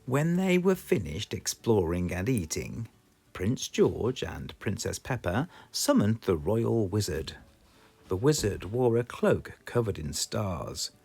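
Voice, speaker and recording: Man, British accent, storybook reader, husky, lively pitch, slow. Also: soft background music. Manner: slow, expressive, sing-song storytelling. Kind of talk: children's storybook read-aloud.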